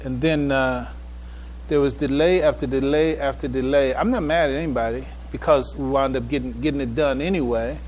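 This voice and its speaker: A man giving a public address as a presenter, speaking in a halting way with repeated phrases and restarts. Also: mid-range voice, steady tone, a little mellow, slight background noise. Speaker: conference speaker presenting a paper. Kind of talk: public address, informal preamble then reading a paper aloud. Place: public event before an audience.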